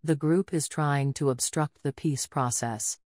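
A woman's voice: low-pitched, a little slow, a little mellow, no room sound, studio quality. A woman reading out scripted sentences as prompts in an English speaking test.

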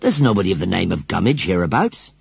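A man's voice: deep voice, big pitch swings, quick pace, gravelly, breathy edge, dry recording, exaggerated voice. A man voicing a cheerful middle-aged farmer with a hearty, teasing manner. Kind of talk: storybook reading.

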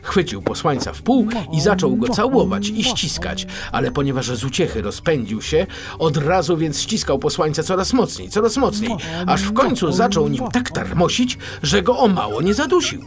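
A man reading a children's story as an expressive, theatrical audiobook narrator. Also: high voice, normal speed, over loud music.

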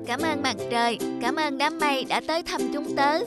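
A woman's voice: sits high, moderate pace, loud music behind the voice, close to an everyday voice. A woman voicing an earnest, plaintive little animal singer in a children's cartoon. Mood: grateful, cheerful.

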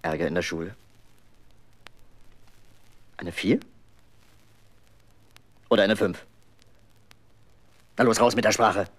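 A man's voice: on the low side, slow, pauses often. An actor playing a teasing middle-aged father in a 1970s German children's radio play, with a theatrical delivery. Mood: jovial prying.

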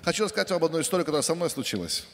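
A middle-aged man interprets a sermon line by line, rushing through with fillers and quick restarts. His voice sits fairly high and moves fast. A long reverb from a large church hall hangs behind him. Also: expressive, a bit bright. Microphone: handheld mic.

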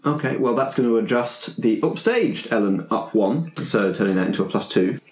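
A man's voice: medium pitch, expressive, normal speed, echoey room. A man hosting a roleplaying podcast, asking questions and presenting in a conversational way. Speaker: podcast host and game master. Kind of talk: conversational interview and credits narration.

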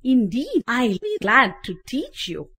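A cheerful, wise magpie teacher, as a woman puts her on. The voice rises high and swoops with lively pitch, and she talks slowly and carefully, like a patient lesson in a storybook. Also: slightly rough, exaggerated voice. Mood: instructive. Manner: cheerful, slow instructive.

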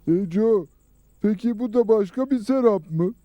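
An actor playing a naive, dim outlaw brother in a dubbed cartoon, eager in manner. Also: male voice, high voice, normal speed, no echo, muffled recording, natural voice. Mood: excited.

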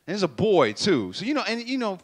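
A young man speaking on a stage with a handheld mic, telling an animated story, acting out sound effects and talking straight to the crowd. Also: sits high, fairly quick. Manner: animated, acts out sounds and voices, addresses crowd directly. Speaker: speaker at a church youth event. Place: stage in a purple-lit hall. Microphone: handheld microphone.

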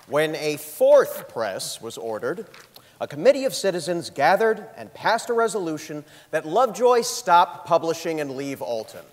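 A man's voice: a little high, big pitch swings, moderate pace, long reverb tail. A man reading scripted dramatic narration on a theatre stage.

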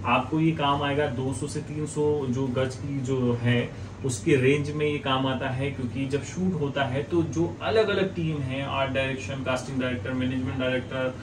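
A man in his thirties with an Indian accent, giving a casual unboxing and review in a halting, repetitive explaining manner. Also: medium pitch, steady tone. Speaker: video host reviewing products. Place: small indoor office. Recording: distant mic, clearly noisy room ambience.

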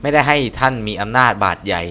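Pompous, self-righteous official for a game male reader, scheming and quick to accuse. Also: medium pitch, expressive, crowd noise, natural voice. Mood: pompous, accusing.

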